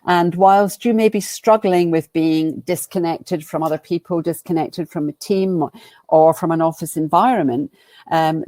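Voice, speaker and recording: Low-voiced Glaswegian woman presenting a webinar. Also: dark tone, dry recording. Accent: Glaswegian Scottish. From Scotland. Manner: friendly, chatty, frequent ums and restarts. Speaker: guest speaker and business coach.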